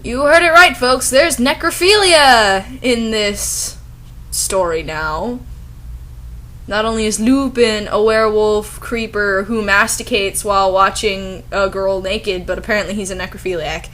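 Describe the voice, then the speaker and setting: A woman's voice: mid-range voice, unhurried, sharp tone. A woman giving sarcastic, exasperated comic commentary as she reviews and reads out bad fanfiction. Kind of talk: comic commentary over a dramatic reading.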